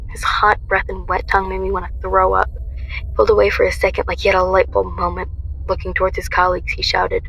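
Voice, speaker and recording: A woman's voice: mid-range voice, monotone, fast talker, creaky, a bit bright, breathy edge, poor audio. A woman playing a young heroine who recounts her ordeal in intense first-person dramatic narration. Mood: grim recollection.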